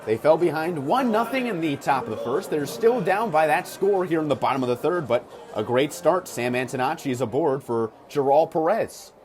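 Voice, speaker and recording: Higher-pitched American baseball play-by-play man. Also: expressive, moderate pace, hardly any pauses, darkish tone. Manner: punchy, clipped calls mixed with stat-filled asides. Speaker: baseball radio play-by-play announcer. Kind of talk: live sports commentary. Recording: clear ballpark noise behind the voice.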